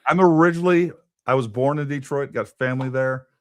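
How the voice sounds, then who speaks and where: A man's voice: mid-range voice, a little fast, slight background noise. A man hosting a casual political talk show, thinking aloud and repeating words as he goes. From Texas. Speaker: podcast host.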